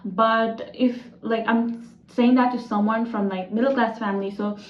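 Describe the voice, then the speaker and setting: A young woman with a Nepali accent talks casually to her viewers, stringing thoughts together with plenty of "like" and "you know". Her voice sits a little high. The recording is roomy and slightly dull, as if made in an echoey room on modest gear. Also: steady tone, moderate pace. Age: young adult. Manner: chatty, loose, heavy on "like" and "you know" fillers. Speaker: international student vlogger. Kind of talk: casual explainer vlog.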